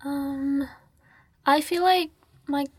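A woman's voice: high-pitched, fairly even intonation, very slow, a little mellow, no echo, studio quality. A woman, chatting informally in an interview and peppering her talk with "like". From New Zealand, born in Nepal. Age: late teens. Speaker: podcast guest, student. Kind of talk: casual interview chat.

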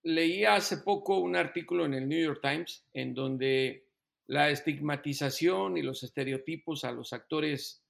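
A man's voice: a little high, vocal fry, a bit bright. A man interviewing a guest, asking long, thoughtful questions with hesitations.

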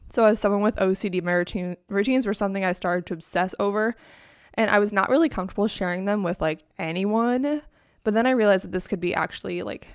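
A young woman hosting her own podcast in a chatty, upbeat way, peppered with "like", her voice still sleepy from the morning. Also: medium pitch. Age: young adult. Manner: chatty, upbeat, heavy use of "like". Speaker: podcast host. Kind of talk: casual solo podcast talk. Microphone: own microphone and laptop.

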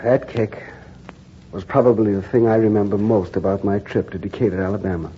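A man narrating as a grave, measured reporter. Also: fairly low pitch, fairly even intonation, mellow, slight background noise, close to an everyday voice. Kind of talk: 1940s radio drama narration. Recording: dull 1940s radio broadcast recording with music.

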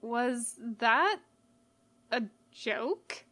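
A woman voicing a gentle, casual male skeleton monster in a story, earnest and puzzled. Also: fairly high pitch, animated, very slow, exaggerated voice. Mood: slow, unimpressed.

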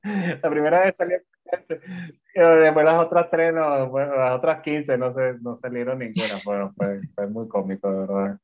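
A man's voice: medium pitch, moderate pace. Venezuelan man, co-host, rambling chat, muffled video call audio. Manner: rambling, repeats words, laughs often.

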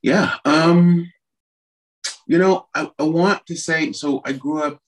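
A man's voice: on the high side, fairly even intonation, takes it slowly, low-quality recording. A middle-aged man from Trinidad, a musician guest telling his story in a rambling, hesitant way with many fillers. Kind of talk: conversational interview.

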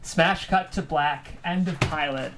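A woman's voice: low voice, measured pace, heavy background noise. A woman who hosts a script table read, narrating stage directions and interviewing the writer.

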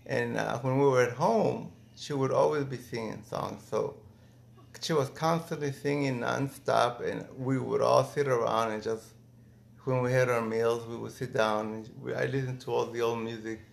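A middle-aged man from Mexico reminiscing casually in an interview, thinking aloud in halting phrases with false starts. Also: medium pitch, steady tone, gravelly. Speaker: interviewee, former migrant worker.

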